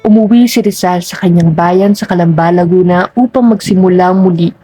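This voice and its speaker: Female narrator for a historical dramatization, scripted read, warm low-brightness timbre, light music bed. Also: normal speed, breathy edge, no room sound.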